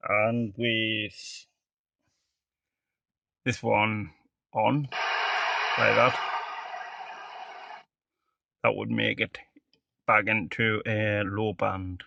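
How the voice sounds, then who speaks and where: Male hobbyist presenter, casual demo. Creaky, bright-edged voice, matter-of-fact and halting delivery. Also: fairly low pitch, flat delivery, no echo, dull audio. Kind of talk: casual product demonstration.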